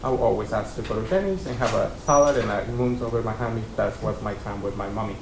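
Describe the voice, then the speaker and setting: A man's voice: quick pace, gravelly. A man in his thirties from Mexico, speaking as a keynote speaker to students in a conversational way, with frequent ums and uhs. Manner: conversational, many ums and uhs, gestures with hands. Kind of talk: personal story talk to students. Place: college lecture room, seated at a panel table. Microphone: desk mic on stand. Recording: roomy hall sound with faint rumble.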